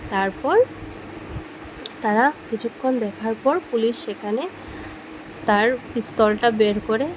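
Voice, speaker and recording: A woman's voice: fairly high pitch, steady tone, unhurried, noisy background. A woman telling a scripted horror cartoon story for children as its storyteller. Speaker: storyteller and channel host.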